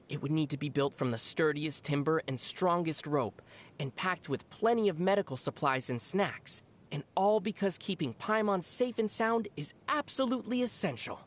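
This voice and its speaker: An actor playing a young traveler in a video game, speaking in a measured, thoughtful, dry and gently teasing way. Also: medium pitch, close to an everyday voice. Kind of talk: scripted video game dialogue.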